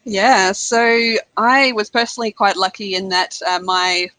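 Australian woman, podcast guest, chatty with light laughs, bright voice, dry close sound. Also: mid-range voice, measured pace, dry recording, low-quality recording. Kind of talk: casual conversational interview. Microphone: remote call.

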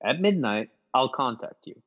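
A man's voice: medium pitch, big pitch swings, normal speed, fairly bright tone, slightly rough, highs cut off. A man playing a tough, commanding gangster in a radio play, giving clipped, slangy orders. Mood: brisk, commanding. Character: brisk, commanding tough gangster plotting a job. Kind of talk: classic radio gangster acting.

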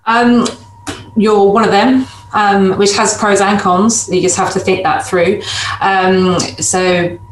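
A woman who is a practice manager partner, speaking at a panel discussion in a measured way with frequent ums. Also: medium pitch, level tone, roomy sound, slight background noise, low-quality recording. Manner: hesitant, many ums, measured.

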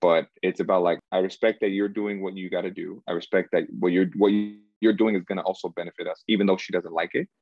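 A man from Cameroon in his thirties, a guest in an interview, talking things through slowly and hesitantly, full of "like" and restarts. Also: low voice, fairly even intonation, no echo, lo-fi sound. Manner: searching, hesitant, repeats himself and says "like" often. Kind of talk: reflective conversational interview.

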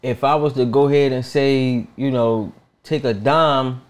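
A man's voice: mid-range voice, steady tone, measured pace. A man in his thirties hosting a casual podcast, talking in a laid-back, slangy way and firing off this-or-that questions. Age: 30s. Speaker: podcast host. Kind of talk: casual hip-hop podcast chat.

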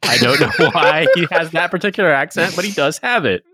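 A man co-hosting a podcast, telling stories quickly and wryly in casual comedic chat. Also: on the high side, lively intonation, sharp tone. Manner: quick, wry storytelling. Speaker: podcast co-host.

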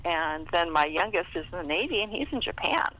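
A woman's voice: on the low side, lively intonation, fairly quick, pushed and bright, low-quality recording. A middle-aged American woman chatting casually as an author guest, talkative and self-deprecating with little laughs in her speech. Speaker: guest author being interviewed. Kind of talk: casual interview chat.

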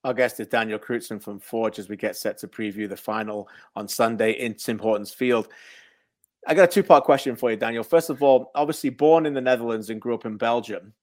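A man hosting a soccer show, putting questions to a guest in a chatty, lively way. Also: medium pitch, brisk. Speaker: show host. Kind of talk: broadcast interview questions.

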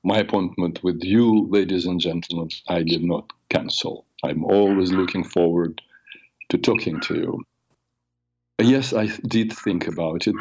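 An older man hosting a radio talk show, raspy from a cold, telling personal stories in a rambling way with many fillers. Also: sits low, darkish tone, highs cut off. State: has a cold. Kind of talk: solo talk show monologue.

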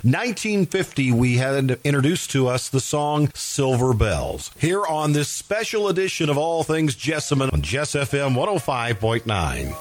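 A man hosting a radio music show, presenting songs between plays. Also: mid-range voice. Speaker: radio host.

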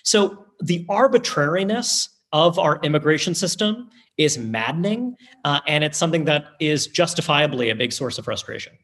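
A man's voice: a little high, animated, moderate pace, hardly any pauses, fairly bright tone. A man speaking as a guest author, explaining his points in an analytical, orderly way. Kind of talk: conversational discussion.

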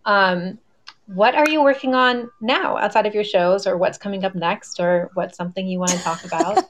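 女主持人，中等音高，热情随和. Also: female voice, medium pitch, lively intonation, normal speed. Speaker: panel moderator, podcaster. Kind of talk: casual hosting chat.

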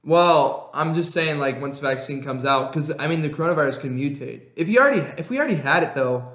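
A young man co-hosting a podcast in casual chat, rambling and excitable, laughing often. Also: a little high, fast. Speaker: podcast co-host. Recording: roomy echo.

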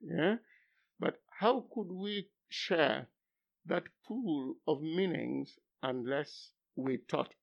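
An older man, a philosophy professor whose first language is Luo, speaking haltingly in an interview, then pressing with emphatic rhetorical questions. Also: medium pitch, gravelly. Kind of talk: academic interview. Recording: dull lo-fi recording.

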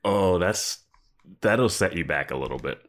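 A man's voice: deep voice, animated, measured pace. A young man co-hosting a casual podcast, joking around and laughing often while chatting about a show. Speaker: podcast co-host. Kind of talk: casual chat.

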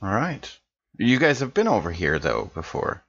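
A man's voice: lowish voice, wildly swooping pitch, normal speed, a bit bright, slightly rough, dry recording. A man playing a tabletop roleplaying game on a podcast, speaking casually in character, on slightly dull audio. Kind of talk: casual in-character improvised chat.

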